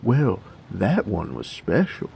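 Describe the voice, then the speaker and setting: A prattling rich fellow mimicked by a man in an audio drama, gushing and long-winded to the point of parody. The voice is pushed up high above the actor's own and swings around in pitch, over faint noise. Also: wildly swooping pitch, slow, vocal fry, darkish tone, exaggerated voice. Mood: mocking, exasperated. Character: bandit's mocking impression of a prattling rich brother.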